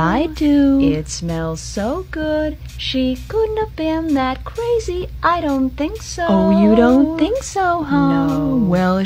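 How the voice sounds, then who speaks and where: A woman's voice: high voice, darkish tone, full character voice. A woman voicing a gossipy, chatty woman in a comic musical number, teasing in a playful back-and-forth. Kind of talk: sung comic musical dialogue. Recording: music playing behind.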